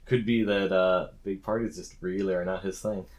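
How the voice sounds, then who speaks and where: Very low-voiced man running a game. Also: fairly even intonation, moderate pace, a little mellow. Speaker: game master. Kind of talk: casual tabletop game narration.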